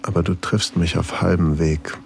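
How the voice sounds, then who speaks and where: A man's voice: low voice, level tone, moderate pace, creaky. A man acting a flirtatious single father in an intimate erotic audio drama, teasing and a little hesitant, narrating his own thoughts. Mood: seductive, intimate.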